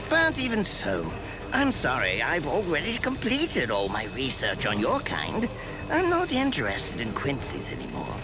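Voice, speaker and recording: An actor playing a gleefully sadistic mad-scientist captain in an anime game, theatrical and mock-polite with condescension. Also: male voice, mid-range voice. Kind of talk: anime video game voice acting. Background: music.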